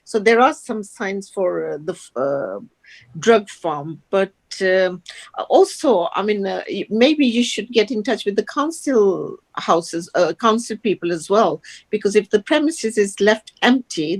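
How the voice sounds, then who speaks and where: A woman's voice: mid-range voice, animated, a little slow. A woman taking part in an online discussion, speaking hesitantly and restarting her phrases often. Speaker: participant.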